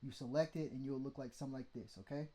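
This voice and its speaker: A man's voice: medium pitch, steady tone, quick pace, dark tone. A man with a mid-range voice teaching vocal mixing in a loose, blunt way, swearing and checking in with "okay?". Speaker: mixing tutorial host. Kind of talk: casual how-to teaching.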